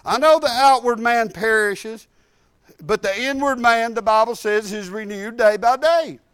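A man preaches in a high, bright voice. He swings from loud, fervent exhortation down to soft, chatty asides, talking straight to his congregation. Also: measured pace, clean tone, no room sound. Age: 50s. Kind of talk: sermon.

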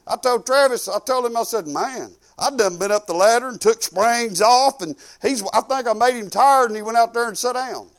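Man, fifties, Southern US accent, high voice, informal preaching, folksy, emphatic, loud-and-soft delivery. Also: moderate pace, hardly any pauses. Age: 50s. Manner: folksy and conversational, peppered with praise exclamations; emphatic, swinging between quiet asides and loud declarations. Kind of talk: informal sermon with personal anecdotes and prayer.

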